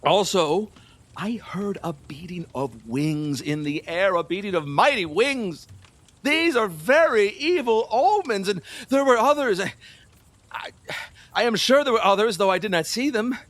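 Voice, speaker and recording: Wheedling, superstitious king for a stage-style audio play: high, wildly swooping delivery, boastful, lustful and unsteady. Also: expressive, fairly bright tone, dry recording. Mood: fearful, agitated. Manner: pleading, boastful, erratic, with nervous laughs and halting repeats. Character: lustful, fearful, superstitious middle-aged male king, wheedling and volatile. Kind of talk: theatrical audio play acting.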